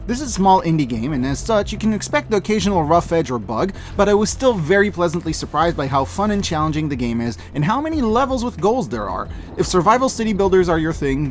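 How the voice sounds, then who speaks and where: High-pitched, animated man reviewing over music. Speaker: reviewer presenting a yearly roundup. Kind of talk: scripted review narration with casual asides.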